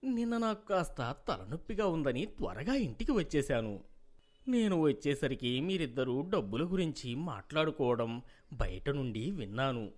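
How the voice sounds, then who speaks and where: An actor playing a gentle, indulgent middle-aged father and husband in an animated story, coaxing and soothing. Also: medium pitch, normal speed, lightly acted. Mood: placating.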